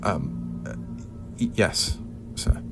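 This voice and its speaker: A man's voice: very slow, loud music behind the voice. A man acting a timid, grovelling underling in an audio drama, hesitant, stammering and deferential. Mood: nervous, pleading.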